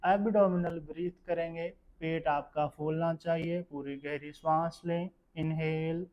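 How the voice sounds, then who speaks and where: A man guiding a meditation session in a calm, measured, instructional manner. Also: a little high, highs cut off. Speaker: yoga nidra meditation guide. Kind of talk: guided meditation narration.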